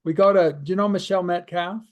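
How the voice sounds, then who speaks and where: A man who leads language teaching workshops, chatting casually on a call and talking haltingly. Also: sits high, fairly even intonation, highs cut off. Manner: halting, with many fillers and restarts. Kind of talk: casual chat on a video call.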